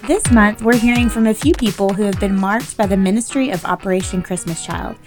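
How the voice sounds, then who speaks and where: Woman host, mid-pitched voice, gentle measured questions, faint music underneath. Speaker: podcast host. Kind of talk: podcast interviewing.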